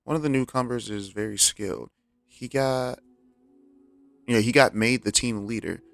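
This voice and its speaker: A man's voice: mid-range voice, normal speed, vocal fry, fairly bright tone. A man streaming a video game, making offhand, casual commentary and muttering to himself. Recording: game music behind.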